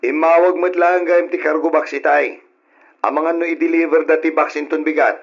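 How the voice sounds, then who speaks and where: Husky, highish Ilocano husband. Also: male voice, little pitch movement, brisk. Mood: businesslike. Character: hardworking husband working abroad, family-minded. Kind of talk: old-style Ilocano radio drama acting. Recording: dull lo-fi radio sound.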